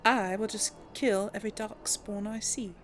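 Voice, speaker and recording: A woman role-playing a blunt young noblewoman warrior in a game, speaking tersely and deadpan. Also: mid-range voice, normal speed, soft background music, lightly acted.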